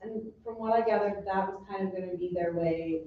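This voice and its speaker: A woman's voice: medium pitch, a little fast. A woman explaining things informally as a participant in a community meeting. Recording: very echoey, muffled, distant, faint noise.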